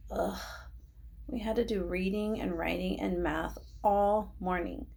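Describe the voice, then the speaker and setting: A woman's voice: medium pitch, steady tone, a little slow, creaky, darkish tone, slightly rough. A woman voicing a dramatic, exasperated young schoolboy telling a children's storybook tale. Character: gifted young schoolboy narrator, indignant and dramatic.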